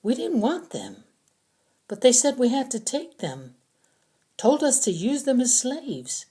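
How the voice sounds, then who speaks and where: A woman's voice: on the high side, very animated, measured pace, mellow, pitched above the performer's own voice. A woman voicing a frantic captive man in an audiobook, insistent and pleading as he accuses and defends himself. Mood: defensive.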